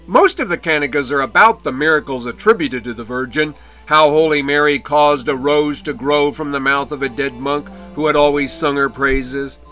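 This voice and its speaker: A man giving an illustrated history lecture in a measured way, with the odd wry aside. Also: fairly high pitch, pushed and bright. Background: faint medieval music.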